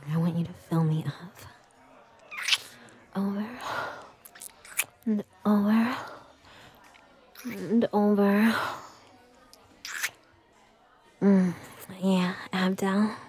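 Woman acting as playful adult girlfriend, intimate audio roleplay, unhurried, breathy, soft mellow tone, teasing. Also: medium pitch, steady tone. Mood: breathy, aroused. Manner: breathy, slow, teasing, often dropping into whispers.

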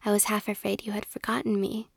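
A woman's voice: mid-range voice, flat delivery, quick pace, gravelly, slightly rough, no room sound, clean studio sound. A woman reading aloud in a whisper, voicing a humble, kindly young male gardener. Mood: earnest. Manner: meek, earnest.

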